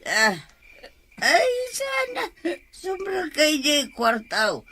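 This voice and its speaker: A woman plays a frail old grandmother in a radio drama, her voice high, bright and very slow, rising and falling widely. She quavers and drags out her words as she fusses over the family. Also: huge pitch swings, a little hiss or hum, heavily acted. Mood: fussing. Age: older. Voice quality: drawn-out, quavering old-lady speech.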